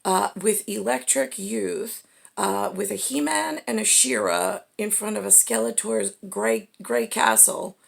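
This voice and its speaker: A woman with a husky, gravelly voice in a halting, digressive stream-of-consciousness monologue, often checking herself with little questioning tags. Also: mid-range voice. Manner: acts out imagined two-way conversations.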